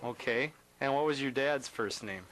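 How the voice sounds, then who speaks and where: A man's voice: medium pitch, moderate pace, crowd noise. A man interviewing an older relative, prompting him with short follow-up questions at a family gathering.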